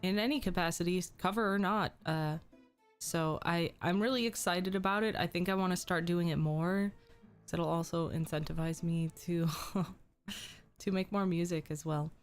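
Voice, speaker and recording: A woman's voice: lowish voice, moderate pace, faint music behind. A young woman streamer chatting casually with her viewers, a little sick and losing her voice, with frequent ums. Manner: chatty, reads and answers chat, frequent ums. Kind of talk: casual livestream chat.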